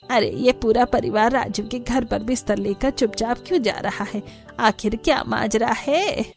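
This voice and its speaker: A woman's voice: on the high side, unhurried, creaky, soft background music. A woman voicing a complaining, suspicious middle-aged neighbour in a cartoon. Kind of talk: cartoon voice acting.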